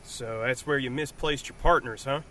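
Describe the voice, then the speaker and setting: An actor playing a hot-headed, sarcastic superhero who grumbles defiantly in an audio drama. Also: male voice, mid-range voice, moderate pace, bright, forceful tone, slight background noise, lightly acted. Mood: sarcastic grumbling.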